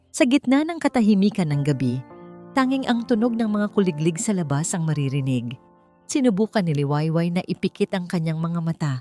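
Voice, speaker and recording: A woman narrating a dramatic story in an expressive, suspenseful storytelling manner. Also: fairly low pitch.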